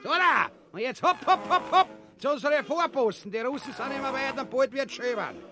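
A man's voice: extremely high pitch, lively intonation, a little fast, music in the background. An actor playing a vulgar, cowardly, bombastic king in a grotesque comedy, blustering and whining with an Austrian accent. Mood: indignant bullying. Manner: blustering, whining, swooping between mutters and bellows. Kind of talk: grotesque comic radio-play acting.

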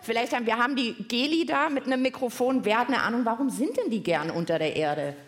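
Lively higher-voiced woman hosting for kids. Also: fast talker. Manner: addresses audience with playful questions. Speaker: host of a children's science podcast. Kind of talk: casual live show banter. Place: live on stage at a podcast festival.

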